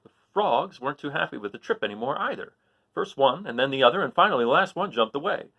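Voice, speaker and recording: A man reading a picture book aloud to children, pausing to count along and quiz his young listeners. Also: fairly high pitch, expressive, vocal fry, bright, forceful tone, husky, highs cut off.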